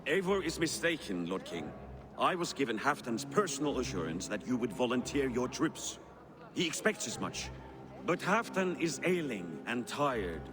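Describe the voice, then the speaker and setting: Dude doing a sneaky bossy viking chief, swings his pitch a lot. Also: sing-song, moderate pace, close to an everyday voice. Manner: conspiratorial, commanding. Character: cunning, secretive Viking war chieftain.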